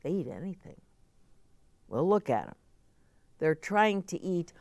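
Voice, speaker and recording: A woman's voice: on the low side, sing-song, a little slow, a little mellow, no echo. A middle-aged woman reading a children's story aloud, expressively, with chatty asides explained simply as if to young children.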